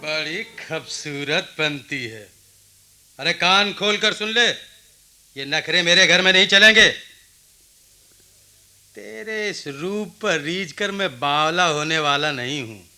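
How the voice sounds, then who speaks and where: Proud middle-aged village husband, very forceful tone, slow, emphatic, radio play. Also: male voice, a little high, full character voice. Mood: harsh, domineering. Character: village oil-seller in his forties, proud, wounded husband. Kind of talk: Hindi radio drama acting.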